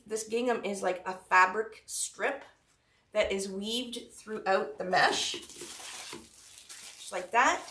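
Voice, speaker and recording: A woman's voice: fairly high pitch, a bit bright, far from the mic. A woman with a head cold hosting a live craft tutorial, chatty and greeting viewers by name. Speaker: craft shop host. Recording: roomy sound, mic set back.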